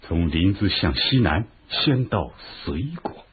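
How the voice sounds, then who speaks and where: An actor playing a shrewd, earnest chief minister in a historical radio drama, with a husky voice and formal, measured rhetoric. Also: male voice, low-pitched, lively intonation, full character voice. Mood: earnest, persuasive. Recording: muffled phone-like radio drama recording.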